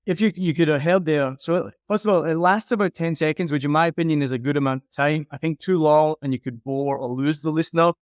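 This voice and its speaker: A man's voice: on the high side, fast, hardly any pauses, clean tone, no room sound, studio quality. A man giving frank, emphatic advice in a conversational critique, like an audio consultant reviewing someone's work.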